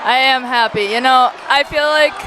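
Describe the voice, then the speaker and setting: A woman's voice: high voice, normal speed. A young woman, a player and coach, answering interview questions in an upbeat, loose way. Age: young adult. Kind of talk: casual interview. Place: loud gym with a crowd. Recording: noisy crowded gym. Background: crowd cheering, balls bouncing.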